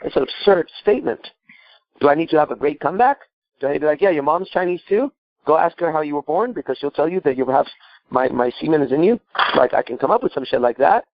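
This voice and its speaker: Man, higher-pitched voice, dry slightly muffled recording, emphatic coaching, repetitive phrasing, rhetorical questions, swears freely. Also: expressive, fast talker, dry recording. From Iran. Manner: emphatic, profane, repeats himself, asks rhetorical questions. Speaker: podcast host and coach. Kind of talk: live call-in advice, improvised coaching.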